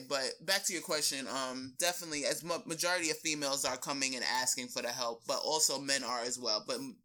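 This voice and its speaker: A woman talking casually as a fitness coach being interviewed, slangy and emphatic, telling stories and acting out quoted remarks. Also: sits low. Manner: expressive storytelling, acts out quoted remarks. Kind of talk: animated casual interview talk.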